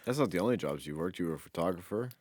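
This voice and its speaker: A man's voice: deep voice, animated, rapid-fire, a little mellow, no room sound, clean studio sound. A man co-hosting a podcast, telling anecdotes in an informal, conversational way. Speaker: podcast co-host. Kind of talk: casual chat, anecdotal storytelling.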